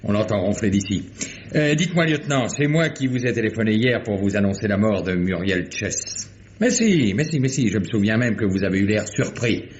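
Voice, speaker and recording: An actor playing a shrewd, unhurried old country sheriff in a French radio crime drama, fatherly and easygoing in manner. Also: lowish voice, close to an everyday voice. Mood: genial, sly. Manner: easygoing, fatherly, drawn-out interjections. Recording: muffled, noisy old radio recording.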